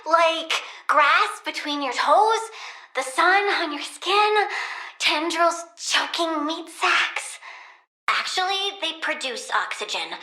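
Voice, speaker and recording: Bratty young plant villain girl for a game, american, super high and swoopy, blunt and flippant. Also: roomy sound, full character voice. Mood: eager, gleeful. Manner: girlish, expressive, blunt, flippant. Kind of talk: animated video game voice acting.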